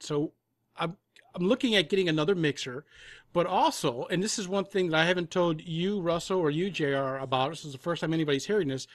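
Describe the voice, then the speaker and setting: Guy with a slightly high voice, dry close sound, rambling through interview answers. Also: a bit bright, dry recording. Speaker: audio drama producer and composer, guest.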